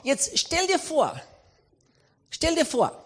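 A man with an Austrian accent preaching a sermon, telling a story with humor and lively emphasis. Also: very high-pitched, slow. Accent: Austrian German. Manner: animated, humorous anecdotal delivery.

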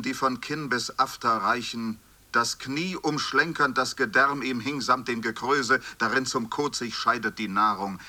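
Man, very sharp bright tone, medium pitch, formal declamatory literary recitation.

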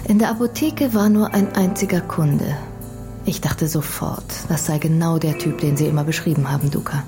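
An actress playing a composed young undercover decoy in a radio crime drama, reporting soberly and precisely. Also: female voice, sits low, normal speed, over music, close to an everyday voice. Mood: explaining.

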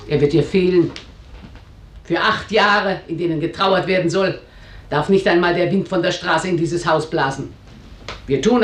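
A woman's voice: lowish voice, reverberant, close to an everyday voice. An actress playing a domineering, stern middle-aged widow who rules her house, speaking in curt, haughty commands in a German radio drama.

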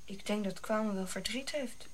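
A woman's voice: medium pitch, normal speed, lightly acted. A woman playing an anxious, curious young African boy in a radio drama, with a simple childlike way of questioning.

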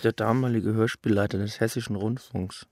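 A man's voice: on the low side, steady tone, fairly quick, darkish tone, no echo, studio quality. A man reading and commenting in a casual, offhand way. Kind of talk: casual reading and murmured interjections.

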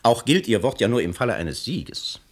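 An actor in a 1960s German radio play, playing a calculating political negotiator, formal, pointed and emphatic. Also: male voice, medium pitch, big pitch swings, normal speed. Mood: insistent.

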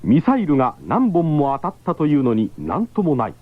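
A man's voice: on the high side, sing-song, a little fast, dry recording. Emphatic man narrating a radio drama. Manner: emphatic, exclamatory storytelling. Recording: tinny 1970s radio broadcast.

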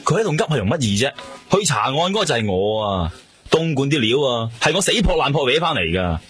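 A man playing a cocky, resentful young police detective with a husky voice in a Hong Kong Cantonese radio drama. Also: medium pitch, sing-song, brisk, fairly bright tone, faint music behind.

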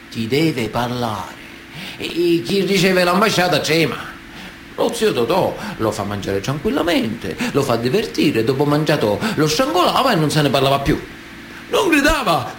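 Sicilian mafioso, strikingly animated delivery. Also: male voice, fairly high pitch, slight background noise. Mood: matter-of-fact, chilling, then mocking, exclaiming. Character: Sicilian mafioso, man of honour, matter-of-fact and chilling. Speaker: actor in radio theatre. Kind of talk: dramatic radio monologue, conversational storytelling.

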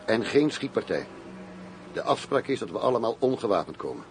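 A man acting a determined police commissioner in a radio play, speaking in a firm, measured manner. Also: lowish voice, sing-song. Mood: businesslike. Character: determined foreign police commissioner working undercover, male. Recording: faint noise, radio play recording.

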